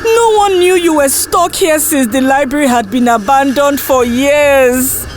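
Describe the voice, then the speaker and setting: A woman's voice: high voice, measured pace, soft background music, pitched above the performer's own voice. A woman voicing an anxious, emotional female hostel matron, pleading in a dramatic story. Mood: tearful pleading.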